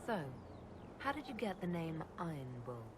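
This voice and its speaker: Game casting: female elf leader, very low voice, composed and direct; clear noise behind. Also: sing-song, moderate pace. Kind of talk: video game dialogue.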